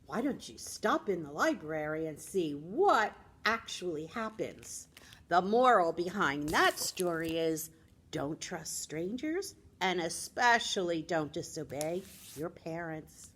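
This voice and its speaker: An American woman presenting as a children's librarian, speaking to young children in an expressive, teacherly way with simple phrasing. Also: lowish voice, slow pace, husky. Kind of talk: storytelling talk for young children.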